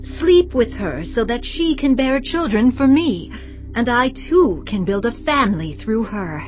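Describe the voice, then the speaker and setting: An actress playing a jealous, desperate younger sister in a dramatized audio Bible, with intense, drawn-out emphasis. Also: female voice, a little high, lively intonation. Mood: insistent, pleading. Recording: slightly dull audio, music underneath.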